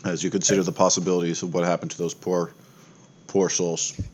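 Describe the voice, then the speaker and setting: A man's voice: deep voice, level tone, brisk, a little hiss or hum. A man acting as game master, narrating a tabletop roleplaying game.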